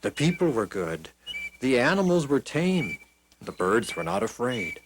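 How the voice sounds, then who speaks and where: A man narrating children's Bible stories in a theatrical, scripted style with deliberate pauses and stretched words. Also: medium pitch, wildly swooping pitch, gravelly, background noise. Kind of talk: scripted dramatic storytelling. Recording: music behind the voice.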